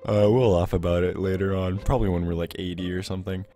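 A man playing an excitable, awkward young man in an improvised video game roleplay, stammering and giddy. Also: deep voice, fast, soft background music, close to an everyday voice. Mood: muttering, pained.

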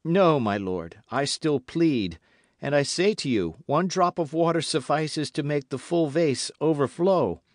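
A man's voice: a little high, expressive, measured pace, no room sound, studio quality, voice pushed up. An impassioned young officer as a male narrator acts him out in a historical storybook. He declaims earnestly and insistently, in a raised, swooping voice, righteous and defiant. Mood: urgent pleading. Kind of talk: dramatic audiobook character reading.

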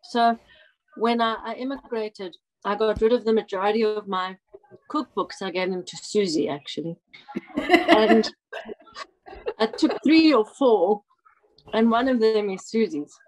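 Woman, viewer on a call, friendly, casual chat, middle pitch. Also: unhurried, pauses often, poor audio.

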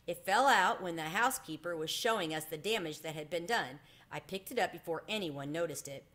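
A woman's voice: lowish voice, a little fast, fairly bright tone, close to an everyday voice. A woman voicing a good-natured old male hotel worker in a storybook, with wry teasing. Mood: friendly, musing.

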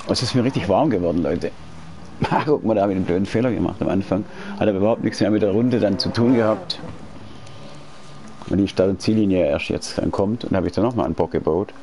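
A man's voice: low-pitched, fast, warm tone. A man in his late forties chatting casually while he streams a racing game from home, rambling and slangy with many restarts. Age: 40s. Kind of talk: casual chat while gaming. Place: indoors at home. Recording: racing game engine noise behind. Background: car engine sounds from the game.

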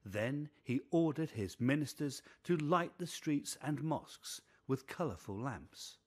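Husky, lively male storybook narrator. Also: fairly high pitch, a little slow.

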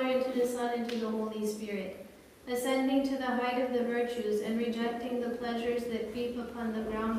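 A woman chanting and reading liturgical prayers in a measured, solemn manner. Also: fairly high pitch. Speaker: church reader and chanter. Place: large reverberant indoor space. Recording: echoey church-like hall, distant microphone, faint noise.

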